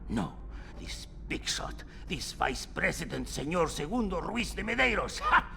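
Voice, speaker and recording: An actor playing a hot-tempered but cowardly smuggler boss in a video game, theatrical. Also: male voice, on the high side, very animated, a little slow, vocal fry, pushed and bright, slight background noise, heavily acted. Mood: indignant bluster. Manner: theatrical, swings from boastful to grovelling.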